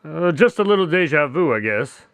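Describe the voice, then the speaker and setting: A man's voice: a little high, sing-song, brisk, noisy background. A man, a voice actor, playfully rambling through a mic check and outtake chatter.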